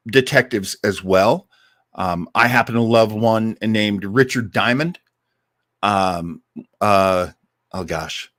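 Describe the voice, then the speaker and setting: A man's voice: fairly low pitch, fairly bright tone, no echo, clean studio sound. An American man hosting a casual livestream, chatting to listeners in an enthusiastic, rambling, conversational way. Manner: enthusiastic, effusive about favourites. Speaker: host of an old-time radio listening livestream.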